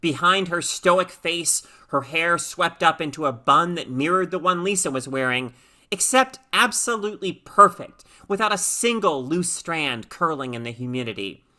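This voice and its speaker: A woman reading a short story aloud as an expressive, dramatic narrator. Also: low-pitched, moderate pace. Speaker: story reader.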